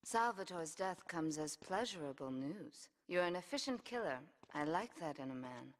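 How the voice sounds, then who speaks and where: A woman's voice: sits low, lively intonation, moderate pace, slight background noise. An actress playing a cold, commanding Yakuza woman boss who gives curt, dryly seductive orders in a video game cutscene. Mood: cool command.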